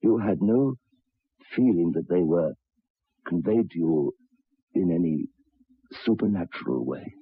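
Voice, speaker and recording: An actor playing a troubled, educated railway signalman in an old radio drama, speaking haltingly and repeating his words. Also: sits low, expressive, slightly rough, no room sound, full character voice. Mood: troubled, hesitant. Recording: tinny, band-limited old radio recording.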